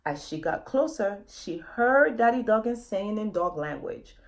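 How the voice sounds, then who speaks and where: A woman's voice: mid-range voice, lively intonation, a little slow. A woman author reading a children's story aloud, expressively, often addressing young listeners directly with questions.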